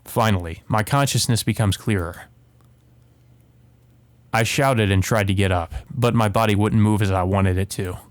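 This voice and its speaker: A man's voice: on the low side, normal speed, pauses often, no room sound. A man hosting a let's play, reading visual novel narration aloud and adding casual asides, with game music behind him.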